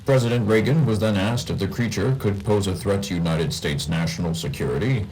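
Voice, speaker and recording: A man playing a senior TV news anchor, delivering a formal scripted broadcast in a measured way. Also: deep voice, a little mellow, a little hiss or hum, lightly acted, rough recording.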